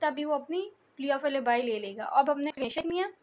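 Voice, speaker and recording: A woman with a Pakistani accent lecturing like a teacher, reading English phrases slowly and deliberately, explaining in between and often adding a short check phrase. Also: high-pitched, noisy background. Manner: repeats a check phrase after points. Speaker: law lecturer.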